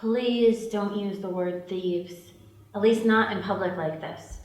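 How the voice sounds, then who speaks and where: A woman's voice: mid-range voice, fairly even intonation, slow, natural voice. A woman reading the part of a cautious, scolding young thief and med student at a screenplay table read. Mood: chiding. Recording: very echoey room, distant mic, faint noise.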